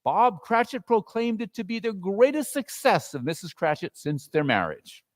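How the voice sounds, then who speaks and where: A man narrating a classic story theatrically, expressive and with dramatic pauses. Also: sits high, dry recording, studio quality.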